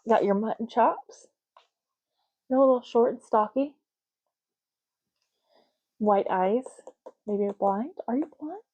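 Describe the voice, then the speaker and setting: A woman speaking softly and unhurriedly in an ASMR roleplay, playing a chatty, matter-of-fact official in short murmured phrases. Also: fairly high pitch, lively intonation, slow, long pauses, muffled recording. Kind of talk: soft-spoken ASMR roleplay.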